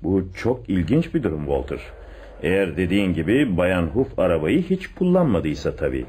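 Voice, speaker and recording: An actor playing a confident police chief inspector in a radio play, with an expressive, deliberate theatrical delivery. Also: male voice, deep voice, a little hiss or hum. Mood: confident, reasoning. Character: male police chief inspector, secretly in love, confident theorist. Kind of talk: Turkish radio theatre acting.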